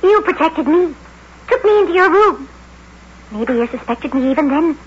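A woman's voice: sits high, animated, moderate pace. An actress playing a frightened young country girl in an old radio drama, emotional and earnest. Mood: earnest, grateful. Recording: muffled old radio recording.

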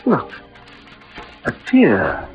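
An actor playing an earnest young soldier, tongue-tied in love, in a theatrical old radio drama style. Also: male voice, mid-range voice, wildly swooping pitch, drawn-out words, soft background music, full character voice. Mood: solemn. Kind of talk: 1940s-50s radio drama acting. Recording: dull old radio recording.

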